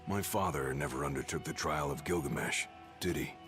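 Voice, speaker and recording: An actor playing a brash young bodyguard who talks bluntly and casually. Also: male voice, extremely low pitch, brisk. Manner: blunt, cocky, casual speech. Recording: game audio with music.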